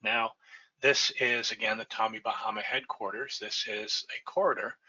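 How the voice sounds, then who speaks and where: A man's voice: lowish voice, fairly even intonation, normal speed, sharp tone, slightly rough, muffled recording. A middle-aged man presenting a slide lecture from his home office, talking conversationally and checking in with "right?" as he goes. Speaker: lighting designer presenting a webinar. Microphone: webcam and computer mic on a video call.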